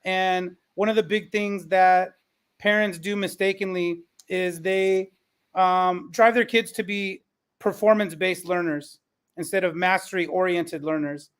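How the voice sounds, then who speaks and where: A man's voice: high-pitched, fairly even intonation, unhurried, fairly bright tone, smooth. A man speaking conversationally as the moderator of a panel discussion on a topic he knows as a former teacher.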